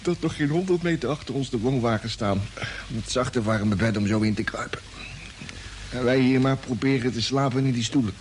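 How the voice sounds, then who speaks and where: A man's voice: mid-range voice, normal speed, close to an everyday voice. An actor playing a drowsy, self-pitying, comically complaining spaceship crewman in a radio drama. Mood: groggy, complaining. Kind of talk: 1950s radio drama acting. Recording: old radio recording, faint hiss.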